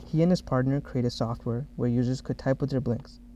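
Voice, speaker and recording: Mellow-voiced man presenting a software demo, dull audio. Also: medium pitch, fairly even intonation, a little fast, slight background noise.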